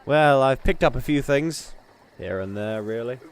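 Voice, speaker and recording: A man's voice: medium pitch, expressive, measured pace, clear, resonant tone. A man playing a cocky mercenary elf warrior in a fantasy drama, with a dry, disdainful manner. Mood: cool, bargaining.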